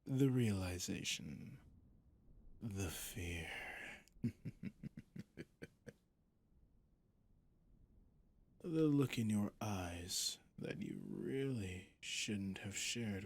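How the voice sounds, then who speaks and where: Ancient vampire captor, male voice actor, ASMR audio drama. Very unhurried, creaky, whispery delivery; sadistic, mocking, theatrical. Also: deep voice, heavily acted. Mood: sinister, gloating. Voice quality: breathy, gravelly. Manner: slow, theatrical, condescending, often dropping to a whisper.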